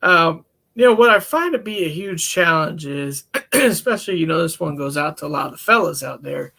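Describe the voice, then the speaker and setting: A man talking as a counselor in a casual, candid discussion, with a relaxed, folksy manner. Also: fairly high pitch, fairly quick, vocal fry, husky. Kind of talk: casual podcast-style discussion.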